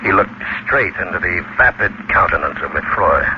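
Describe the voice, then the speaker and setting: A man narrating a 1940s radio horror story in a theatrical, suspenseful, deliberate storytelling manner. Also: sits low, expressive, background noise. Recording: dull vintage radio recording with music. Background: prominent dramatic music.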